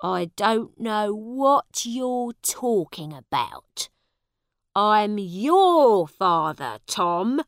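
A woman reading a storybook voices a big angry man who scolds loudly, staying close to her own voice. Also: mid-range voice, very animated, extremely slow, no room sound, voice pushed up, full character voice. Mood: scolding. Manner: loud, scolding. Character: big angry grown man, gruff beggar's father.

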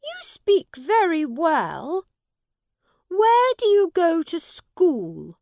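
A woman voicing a lordly, eager young English prince in a storybook, speaking emphatically with drawn-out words. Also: very high voice, wildly swooping pitch, a little mellow, no room sound, clean studio sound, pitched above the performer's own voice, full character voice. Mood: lordly, generous. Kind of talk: storybook character voice.

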